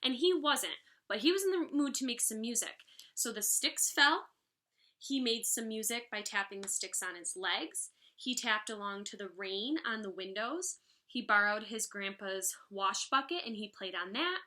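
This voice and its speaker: A woman's voice: fairly high pitch, normal speed. A woman in her thirties, a music teacher, reading a picture book aloud to children expressively and explaining it as she goes. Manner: expressive, instructive. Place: living room at home, indoors. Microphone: device mic about arm's length away.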